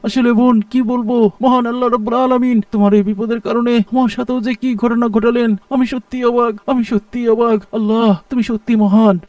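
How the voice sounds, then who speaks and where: Man acting fast-talking, earnest devout rescuer. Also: very high voice, level tone, darkish tone, no echo, distant mic. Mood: awed, thankful. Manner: earnest, emphatic, reassuring. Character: kind, devout male passer-by rescuing a stranded woman. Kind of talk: dramatic cartoon dialogue.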